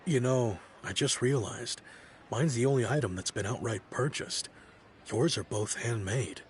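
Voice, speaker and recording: Sincere young man voiced by an actor, medium pitch. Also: measured pace, background noise, close to an everyday voice. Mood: reflective, sincere. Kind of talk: anime game voice acting. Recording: game music behind.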